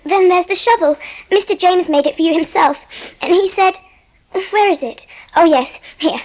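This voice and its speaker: Very high, earnest English girl. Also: moderate pace. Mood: earnest, hurt. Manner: earnest, polite. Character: earnest, well-spoken young English girl. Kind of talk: dramatised audiobook acting.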